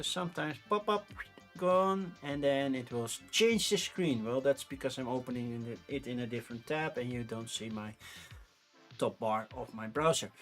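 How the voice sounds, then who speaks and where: A man with a Dutch accent, a native Dutch speaker, chatting casually and thinking aloud as a livestream host. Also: mid-range voice. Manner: rambling, informal, thinking aloud. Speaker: livestream host reviewing board game crowdfunding.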